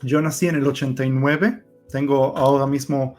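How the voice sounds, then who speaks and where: A man in his thirties from Israel, whose first language is Hebrew, telling his personal story in Spanish slowly and hesitantly with many fillers. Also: mid-range voice, little pitch movement, a little mellow, faint music behind. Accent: Israeli, Argentine-influenced Spanish. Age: 30s. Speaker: guest giving a testimony. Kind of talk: personal testimony.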